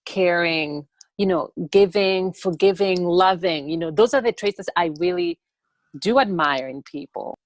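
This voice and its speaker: A young woman from Tanzania answering interview questions on a video call in an earnest, halting way that often repeats words. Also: lowish voice, no echo. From born in Tanzania. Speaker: interview guest, TikTok educator.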